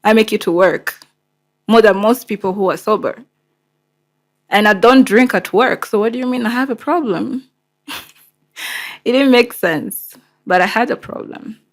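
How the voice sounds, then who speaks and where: A woman's voice: a little high, measured pace, pauses often. A woman with a Rwandan accent and Kinyarwanda as first language, hosting and telling a personal story in a candid, conversational, emphatic way. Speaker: show host.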